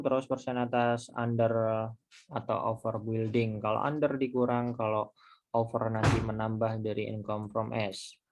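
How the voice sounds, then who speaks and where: A man giving an informal lecture, explaining step by step in a halting way and posing questions to himself. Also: medium pitch. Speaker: accounting lecturer. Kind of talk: explanatory screen tutorial lecture.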